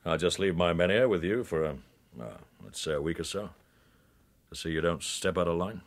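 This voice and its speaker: A very low-pitched commanding spy boss from a radio crime drama. He speaks with measured authority and a steady undertone of menace and suspicion. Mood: measured threat.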